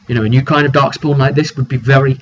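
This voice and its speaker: A dull, lo-fi recording with clear noise carries a man of middling pitch. He speaks as a game master recapping his campaign informally, leaning on key phrases and pausing for drama. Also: steady tone. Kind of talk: informal video recap of a roleplaying session.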